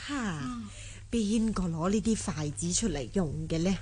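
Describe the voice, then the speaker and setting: An actress playing an elderly noble matriarch in a radio drama, commanding and fondly scolding. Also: female voice, lowish voice, normal speed, mellow, slight background noise, lightly acted. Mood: grumbling.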